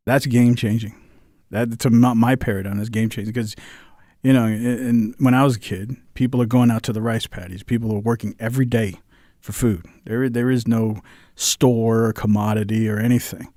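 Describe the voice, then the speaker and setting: A man from South Korea co-hosting a casual livestream chat. He rambles with halting restarts, his pitch sitting in a middle range. The sound is dry and close. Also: fairly even intonation, dark tone, no room sound. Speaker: co-host.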